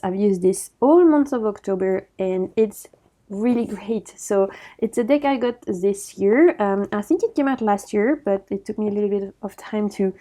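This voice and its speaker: A woman chatting enthusiastically about her oracle decks, her voice slightly croaky from a recovering sore throat, laughing often as she talks. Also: a little high, dark tone. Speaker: oracle deck vlogger. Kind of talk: casual chatty deck review.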